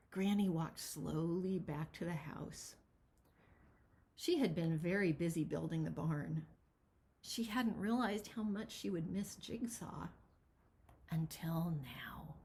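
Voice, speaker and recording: A woman reading a picture book aloud as its author, with expressive, dramatic storytelling. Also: sits low, slow, long pauses. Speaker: children's author reading their own book.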